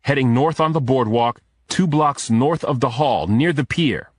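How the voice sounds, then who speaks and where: A man's voice: mid-range voice, unhurried, no echo, pitched above the performer's own voice, close to an everyday voice. A man voicing an urgent, commanding investigator in a thriller audiobook, barking breathless orders mid-pursuit.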